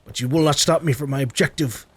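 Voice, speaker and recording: A man's voice: mid-range voice, fast talker, a little hiss or hum. A man giving casual let's play commentary, playfully rambling and thinking aloud as he goes. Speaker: gaming video host. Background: faint game sounds.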